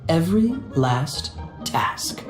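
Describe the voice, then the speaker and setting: A woman playing a self-assured, teasing colleague in a video game, with a measured, theatrical delivery. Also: low-pitched, animated, echoey room, music in the background. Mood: reassuring.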